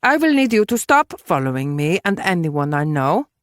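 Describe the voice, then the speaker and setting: Audiobook heroine, played by a woman: dangerous and self-possessed, cool, assertive delivery with wide pitch movement. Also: big pitch swings, normal speed, no echo, studio quality. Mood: firm.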